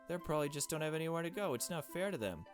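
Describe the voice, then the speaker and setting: A man's voice: on the high side, lively intonation, rapid-fire, faint music behind, voice pushed up, lightly acted. A man voicing a meek, earnest young woman from a visual novel, hesitant and polite. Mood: hesitant, pleading. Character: meek, earnest young woman who loves small animals. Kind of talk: visual novel dialogue read aloud.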